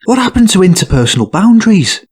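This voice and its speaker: A prim, hypochondriac young male student in an audio drama. He is pompous and indignant, with a husky voice that rises and falls sharply as he lays down rules. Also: high voice, sing-song, quick pace, darkish tone, full character voice. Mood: exasperated. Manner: pompous, reproachful, formal wording.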